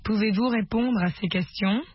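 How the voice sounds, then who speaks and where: A woman presenting a language lesson, giving scripted instructions in a measured, slightly husky voice. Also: medium pitch. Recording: muffled lo-fi, dry narration.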